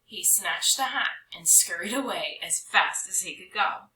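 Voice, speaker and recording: Animated, very bright-voiced woman reading a storybook. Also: lowish voice, a little slow, slight background noise. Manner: dramatic, with stretched words and hushed drops. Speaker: storybook reader. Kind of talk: expressive children's read-aloud narration. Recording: roomy echo.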